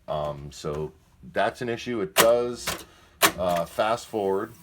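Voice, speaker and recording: A man's voice: fairly low pitch, lively intonation, slow, heavy background noise. A man giving a casual, unscripted product demonstration in a plain-spoken, candid and somewhat hesitant way. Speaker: presenter of a used tape deck demonstration. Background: music playing from the tape deck at times.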